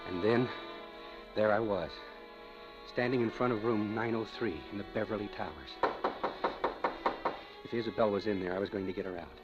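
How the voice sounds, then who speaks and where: An actor playing a wry, wisecracking hardboiled detective, lovesick and dogged, in old-time radio drama. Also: male voice, lowish voice, moderate pace, long pauses, close to an everyday voice. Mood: wry narration. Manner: wry, sardonic, theatrical. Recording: dull vintage radio recording, music behind.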